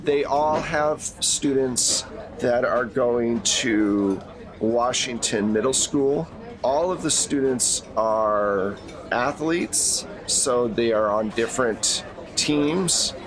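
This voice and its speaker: A man's voice: medium pitch, slow, crowd noise, rough recording. A man acting as game master, giving a conversational, explanatory briefing in a tabletop roleplay.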